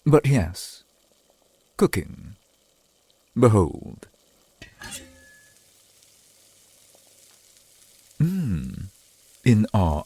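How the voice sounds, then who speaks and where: A man playing a centuries-old vampire boyfriend in an ASMR roleplay, doting and earnest, with halting, old-fashioned formal speech. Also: on the low side, warm tone, slightly rough, exaggerated voice. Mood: proud, flustered. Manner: formal archaic wording, halting, eager to please.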